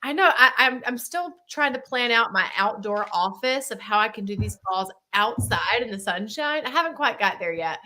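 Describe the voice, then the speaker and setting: A woman's voice: fairly high pitch, animated, normal speed, hardly any pauses, sharp tone. A woman co-hosting a live business webinar, bantering playfully with her partner. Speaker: co-host.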